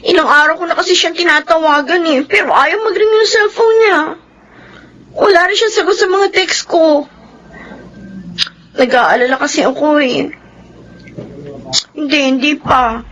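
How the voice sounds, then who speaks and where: An actress playing a bossy, sharp-tongued young working older sister in a Filipino radio drama. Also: female voice, high voice, slight background noise, low-quality recording. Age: young adult.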